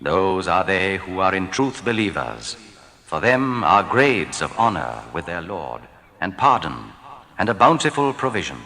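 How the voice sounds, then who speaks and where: Solemn, bright-voiced man reading in heavy reverb. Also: low voice, big pitch swings. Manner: formal, deliberate, declamatory. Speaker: narrator of scripture translation. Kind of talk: solemn scripted reading of archaic scripture translation. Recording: long reverb, hall-like.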